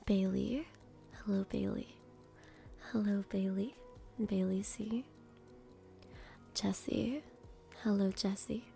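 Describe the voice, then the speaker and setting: A woman with a mellow, creaky voice performs ASMR over faint music. She speaks very slowly in short, gentle phrases, often dropping to a breathy whisper, with space left between each one. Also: mid-range voice, steady tone. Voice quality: breathy whisper mixed with soft speech. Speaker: host greeting viewers by name. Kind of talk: ASMR name reading.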